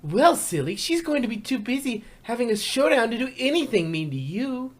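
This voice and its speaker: A woman's voice: on the high side, big pitch swings, normal speed, slight background noise. Lady doing a bubbly cartoon girl pony, chirpy and pushy, voice bouncing all over. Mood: eager, coaxing. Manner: eager, emphatic, chirpy.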